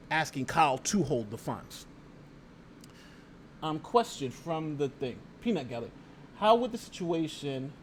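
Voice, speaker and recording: A man's voice: medium pitch, slight background noise. An American man hosting a casual legal commentary stream, reading aloud and talking through it conversationally with rhetorical asides. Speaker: YouTube host and lawyer reading a lawsuit.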